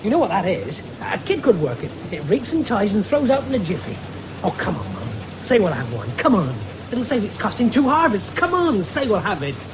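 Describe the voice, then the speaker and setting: Radio drama: boastful, eager young farmer's son, high and very animated, noisy old broadcast. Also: male voice, brisk. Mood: eager, cajoling. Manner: enthusiastic, cajoling, cocky. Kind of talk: 1970s British radio drama acting.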